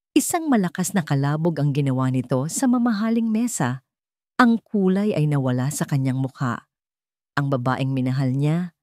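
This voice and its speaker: Low-pitched woman narrating a dramatic story. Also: a little mellow, no echo, clean studio sound. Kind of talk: dramatic scripted narration of a romance melodrama.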